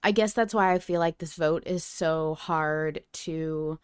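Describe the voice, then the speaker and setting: A woman's voice: sits low, measured pace, clear, resonant tone, no echo, clean studio sound. A woman co-hosting a podcast, chatting casually and informally, often dropping in "like" as she goes. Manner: informal, peppered with "like". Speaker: podcast co-host.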